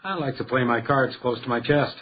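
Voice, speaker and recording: An actor playing a shrewd, defiant house detective, speaking in a precise, dryly wry way in a radio crime drama. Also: male voice, mid-range voice, a little fast, lo-fi sound, a little hiss or hum, natural voice. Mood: tense, wry.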